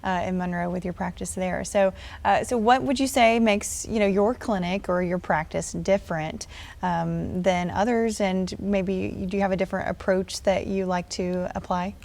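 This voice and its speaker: A woman's voice: medium pitch, fairly even intonation, normal speed, gravelly, a little mellow. A woman hosting a local TV health interview segment, chatty, with fillers and restarts.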